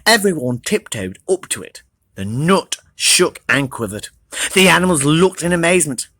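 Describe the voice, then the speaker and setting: A storybook reader narrating for children in an animated style. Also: mid-range voice, normal speed, no echo.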